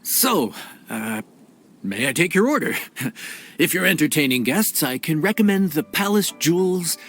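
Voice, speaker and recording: A man's voice: on the high side, big pitch swings, measured pace, fairly bright tone, soft background music, heavily acted. A man voicing an obliging, slightly hesitant, plain-spoken inn cook in an anime fantasy game.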